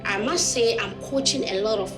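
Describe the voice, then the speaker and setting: A woman's voice: fairly high pitch, normal speed, over music. A woman from Ghana, a safety professional, speaking earnestly about her career in an interview. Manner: earnest, with frequent "um". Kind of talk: interview talk.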